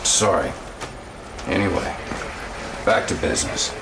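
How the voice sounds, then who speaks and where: Low, blunt military officer, off-mic. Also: male voice, a little slow, vocal fry, far from the mic, noisy background. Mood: troubled, frank. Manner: casual, slangy, confiding delivery. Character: blunt, disillusioned male military intelligence officer. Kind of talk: dramatic video game cutscene acting.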